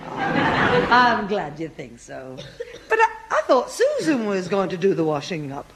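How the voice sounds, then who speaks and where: An actress playing a brisk, long-suffering mother with a husky voice in a 1960s radio sitcom. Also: medium pitch, heavily acted. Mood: pleased. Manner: expressive, scolding, motherly.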